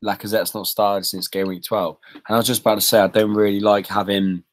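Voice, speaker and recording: A man's voice: on the low side, level tone, brisk. A man chatting casually as a podcast co-host, rambling and thinking aloud as he discusses fantasy football.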